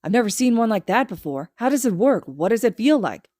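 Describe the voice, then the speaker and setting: A woman's voice: a little high, very animated, fairly quick, warm tone, dry recording, clean studio sound, voice pushed up. A woman voicing a bold, curious young boy with a casual, laid-back manner in an audiobook. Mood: impressed. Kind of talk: fan fiction audiobook acting.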